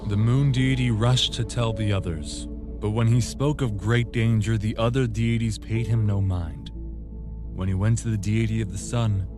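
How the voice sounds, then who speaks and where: A man's voice: on the low side, steady tone, natural voice. A man playing a grave storyteller, narrating an ancient legend in a scripted fantasy audio drama. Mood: solemn storytelling. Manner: solemn, measured storytelling. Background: music.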